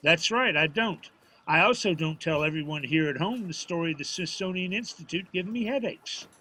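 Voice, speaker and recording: A man's voice: on the high side, lively intonation, fairly quick, bright, forceful tone, poor audio. An actor playing a stubborn, proud, plainspoken old father from a small town, folksy and prickly, in an audio drama. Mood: firm, proud. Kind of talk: naturalistic audio drama acting at a live reading. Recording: faint noise of live stage performance.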